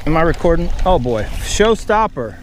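A man's voice: fairly high pitch, very animated, normal speed. A middle-aged man hosting a fishing vlog with casual, folksy, rambling running commentary. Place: outdoors on a small fishing boat on open water. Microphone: action camera worn on chest or held at arm's length. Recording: outdoor boat noise, wind.

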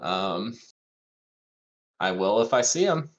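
A man's voice: on the low side, expressive, slow pace, dull audio. A man talking casually as a player in a tabletop roleplaying game.

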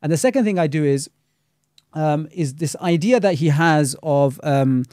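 A man's voice: a little high, lively intonation, moderate pace, warm tone, dry recording, clean studio sound. A man, an Islamic scholar and teacher, talking critically in a casual podcast discussion, scattering Arabic religious terms through his speech.

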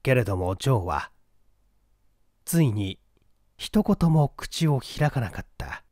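A man's voice: mid-range voice, big pitch swings, unhurried, a little mellow, no echo, clean studio sound. A man narrating a literary mystery novel as a dramatic audiobook reading.

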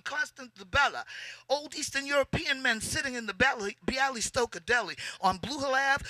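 Forceful, high-voiced woman performing spoken word. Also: no echo. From United States, Boston. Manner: theatrical, declamatory, with chanted refrains and dramatic swells. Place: outdoor poetry event at a podium in a cemetery. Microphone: handheld microphone held close.

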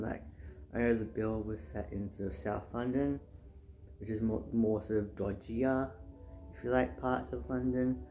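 A soft-spoken young man rambling casually in his living room, with many fillers and restarts. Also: mid-range voice, rough recording. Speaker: booktuber. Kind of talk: casual chat. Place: living room, indoors, sitting on couch.

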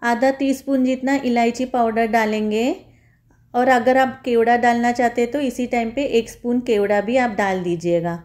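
A woman's voice: a little high, fairly even intonation, moderate pace, a little mellow. A woman home cook giving a step-by-step recipe voice-over, instructing viewers in a friendly, familiar form of address.

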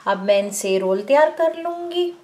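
A woman hosting a home cooking show, calmly explaining each step and addressing her viewers directly. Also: high voice, normal speed, slight background noise. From Pakistan. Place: home kitchen.

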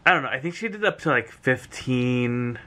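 A man's voice: medium pitch, lively intonation, normal speed, pushed and bright. A young man vlogging at home, chatting casually to a handheld camera held at arm's length and laughing often. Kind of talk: casual vlog chat. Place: home kitchen and bedroom.

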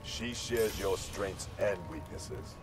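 A man's voice: sits low, takes it slowly. A man voicing a grim, solemn, vengeful ninja warrior in a fighting game. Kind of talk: fighting game character dialogue. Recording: game music behind.

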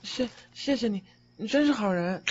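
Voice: medium pitch, expressive, normal speed, background noise, close to an everyday voice. An actor playing a timid, naive, hungry teenage boy ghost in an audio drama, with a meek, hesitant manner. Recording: slightly dull recording with music behind.

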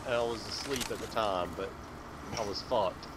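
A man's voice: lowish voice, moderate pace. A drunk man chatting casually with friends in game voice chat. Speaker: gamer in voice chat. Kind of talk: casual chat while gaming. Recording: loud game noise over voice chat.

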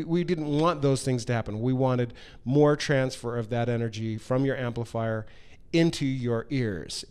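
A middle-aged man with a clean, smooth voice gives an informal product presentation. He speaks at an ordinary male pitch into a handheld mic, often halting mid-phrase to find the next piece of his explanation. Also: darkish tone. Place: large hard-walled workshop room, indoors. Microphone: handheld microphone held close.

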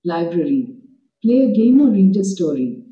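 A woman's voice: lowish voice, very animated, moderate pace. A woman as an app's voice assistant, giving scripted, encouraging instructions and praise. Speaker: reading app voice assistant. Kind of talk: scripted app prompts and praise. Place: played from a device speaker in a room, picked up by camera. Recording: dull app playback in echoey room.

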